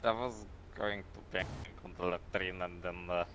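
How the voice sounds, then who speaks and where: A man with a lowish voice chats casually during a game. He speaks haltingly and repeats himself. The sound is dull and very noisy. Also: steady tone.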